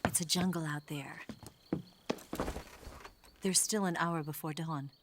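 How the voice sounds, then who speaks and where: A woman's voice: low-pitched, steady tone, takes it slowly, background noise. A cool, teasing female agent. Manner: composed, gently teasing. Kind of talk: video game cutscene acting.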